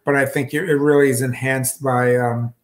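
A man in his fifties talks a wine club through a bottle over a video call. He speaks casually from his home kitchen, stopping and restarting often and filling gaps with ums. His voice sits at an ordinary pitch for a man. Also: level tone. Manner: halting, many fillers and restarts, gestures with hands. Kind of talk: casual wine tasting talk. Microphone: video call webcam.

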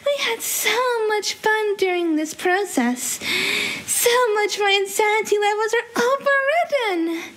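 A woman's voice: very high-pitched, animated, measured pace, fairly bright tone, full character voice, low-quality recording. A young woman voicing a manically cheerful, deranged female cartoon villain creature with a gleeful, sinister, coaxing delivery. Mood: unhinged sweetness. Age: young adult. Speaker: amateur voice actor. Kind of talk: theatrical character voice lines. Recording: faint hiss, home recording.

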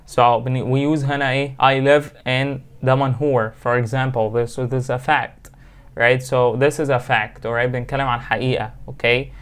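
Fast, clear-voiced Egyptian English teacher. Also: male voice, medium pitch, level tone, fairly bright tone. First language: Arabic. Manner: explains and repeats example sentences slowly, fast asides. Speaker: English instructor. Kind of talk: online grammar lesson, mixing Arabic and English. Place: small room indoors.

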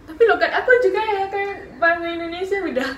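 Young woman guest from Singapore, high-pitched and bright, easygoing and giggly, roomy sound with faint hum. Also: fast. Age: young adult. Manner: relaxed, brief answers, giggly. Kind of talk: casual chat. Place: small bedroom, indoors.

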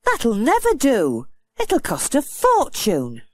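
A woman's voice: on the high side, huge pitch swings, takes it slowly, darkish tone, no room sound, full character voice. A woman voicing a practical, doubting young mother with an exclaiming manner. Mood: worried, skeptical. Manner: exclaiming, skeptical.

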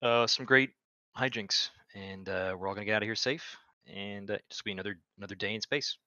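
Low, bright-edged man on a muffled call. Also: a little fast, dry recording. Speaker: tabletop game player. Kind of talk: casual character introduction. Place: online group call. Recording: slightly muffled online call.

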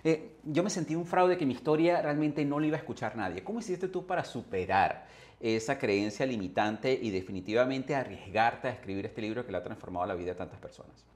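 A man in his forties hosts from a small studio with a microphone on a boom arm. He speaks at an ordinary middle pitch, affirming his guest and asking long, searching questions. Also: animated, brisk, a little mellow. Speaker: host. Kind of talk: conversational interview. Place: studio with branded backdrop.

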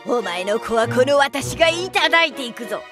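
恐ろしく怒れる老魔女. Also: female voice, high-pitched, lively intonation, a little fast, over music. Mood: furious. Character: menacing, wrathful old witch woman. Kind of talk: children's storybook acting.

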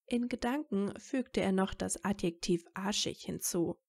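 A woman narrates a piece of fan fiction as an audio story. Her voice sits at a medium pitch, and she reads from a script as the storyteller. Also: unhurried, creaky, darkish tone.

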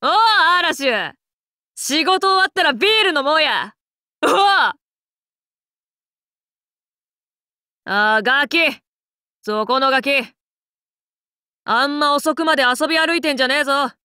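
A woman voicing a brash, rough but caring tomboy warrior in an anime game, with blunt, cocky, masculine speech. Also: high voice, takes it slowly, long pauses, sharp tone, no room sound, studio quality, heavily acted. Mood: casual, bossy. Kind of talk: Japanese anime game character lines.

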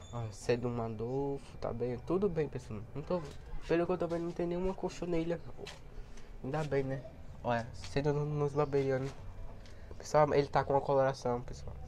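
A young man with a cold and a hoarse voice, chatting casually as he films his plants outdoors on a handheld phone. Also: medium pitch, darkish tone, slight background noise. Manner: chatty, points things out to viewers. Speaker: plant vlogger. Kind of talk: casual walkthrough vlog. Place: outdoor backyard garden area. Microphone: handheld phone camera.

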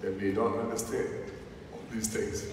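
A man in his thirties with a foreign accent and a deep voice, giving a slow, emphatic inspirational speech on stage in a large arena. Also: flat delivery, a little hiss or hum. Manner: slow, emphatic, preacher-like delivery. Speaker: motivational speaker. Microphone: headset or stage mic heard over PA from the crowd. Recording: echoey arena PA recorded from far seats.

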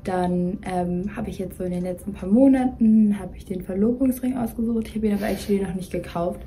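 A woman's voice: medium pitch, fairly quick, mellow, slight background noise. A young woman talking casually as a co-host, rambling and slipping English words into her speech. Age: young adult. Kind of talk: casual chat. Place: dim bedroom, indoors.

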